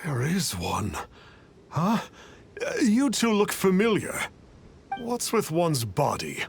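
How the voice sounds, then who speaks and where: High-voiced, pompous male charlatan. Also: huge pitch swings, slow, vocal fry, slight background noise, exaggerated voice. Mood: bewildered, smug. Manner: pompous, theatrical, archaic self-reference as 'one'. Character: pompous, cowardly male charlatan posing as immortal. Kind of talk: video game voice acting.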